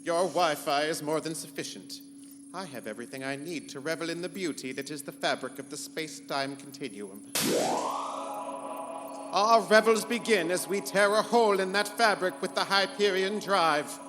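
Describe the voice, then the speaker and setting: A man acts out a grandiose rogue spaceship computer with a slightly high voice and a petulant streak. It is a live sci-fi radio play in a reverberant hall, with music clearly behind. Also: lively intonation, normal speed, natural voice. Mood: gleeful menace. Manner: grandiose, pompous. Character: haughty, theatrical rogue ship computer with petulant temper. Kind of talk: live sci-fi comedy radio play in pulp serial style.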